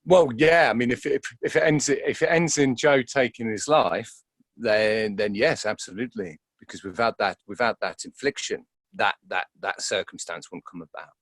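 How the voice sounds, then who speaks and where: A man's voice: fairly low pitch, normal speed, fairly bright tone, dry recording. A man giving conversational interview answers as an actor, hesitant and often repeating words as he thinks aloud.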